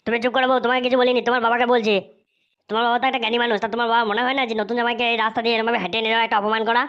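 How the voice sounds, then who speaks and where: A woman's voice: fairly high pitch, flat delivery, rapid-fire, dull audio, natural voice. A woman voicing a touchy, rude, indignant young bridegroom in a comedic cartoon.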